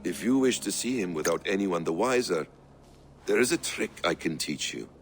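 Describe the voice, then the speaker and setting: Game role: cryptic assassin mentor, calm and measured, low voice with lively melodic swings, faint ambient noise. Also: male voice. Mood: measured, secretive. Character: calm secretive male master assassin, mentoring. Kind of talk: video game dramatic acting.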